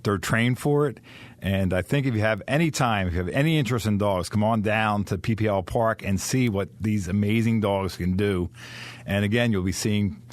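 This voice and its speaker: A man's voice: on the low side, normal speed, dry recording. A man, a professional dog trainer, explaining dog competitions in detail as a radio interview guest. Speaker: dog trainer, kennel owner.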